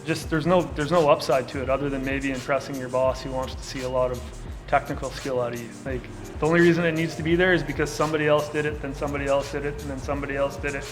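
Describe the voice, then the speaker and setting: A man's voice: mid-range voice, fast. A middle-aged man from Canada speaking casually in a documentary interview, with lots of filler words. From Saskatchewan, Canada. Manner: relaxed and casual, with many filler likes. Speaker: co-founder and designer. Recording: interview with music playing underneath.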